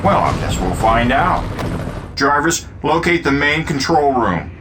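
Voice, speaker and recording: An actor playing a cocky, wisecracking armored superhero inventor in a video game, with a flippant delivery. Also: male voice, a little high, very animated, measured pace. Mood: determined. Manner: flippant, quippy. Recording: loud game music and engine noise.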